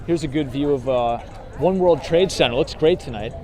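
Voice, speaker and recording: A man with a hoarse, fading voice chatting casually about markets and sights while walking outdoors in a city, reading out viewer comments with lots of fillers and "right?" tags. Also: fairly high pitch, moderate pace, warm tone, soft background music. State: hoarse, losing his voice. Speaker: vlogger talking markets on a city walk. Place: outdoors on city streets and in a park. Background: traffic sounds.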